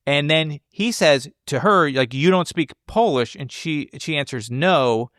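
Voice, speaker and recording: A man's voice: a little high, lively intonation, a little slow, fairly bright tone, no echo, clean studio sound. A man co-hosting a podcast, talking casually through a film in conversation. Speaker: podcast host.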